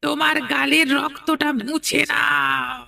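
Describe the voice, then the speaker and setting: A woman's voice: sits high, little pitch movement, slow pace, creaky, sharp tone, dry recording, studio quality, full character voice. A woman voicing an eerie, insistent village child with a ghoulish craving in a horror cartoon. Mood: eerie, luring.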